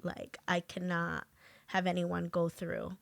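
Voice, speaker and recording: A woman hosting a podcast, talking casually in a slow, halting way with lots of fillers. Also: fairly low pitch, monotone, creaky, dry recording. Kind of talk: casual conversation.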